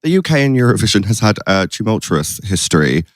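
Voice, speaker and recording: A man with a slightly low voice and very lively, swooping intonation. He hosts in a casual, playful chat style, recorded dry. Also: brisk, dry recording. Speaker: podcast host.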